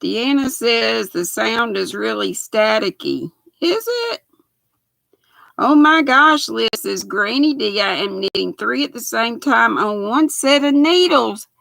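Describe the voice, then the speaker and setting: An older woman with a raspy voice, chatting folksily with her livestream viewers while she sews. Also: on the high side, animated, takes it slowly, a bit bright, no echo. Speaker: knitting livestream host. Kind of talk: casual live chat while sewing.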